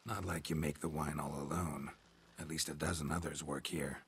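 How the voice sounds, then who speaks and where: An actor playing a gruff, laconic monster hunter in a video game, speaking in a low gravelly voice with terse, deadpan lines. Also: male voice, moderate pace, exaggerated voice. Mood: blunt, deadpan.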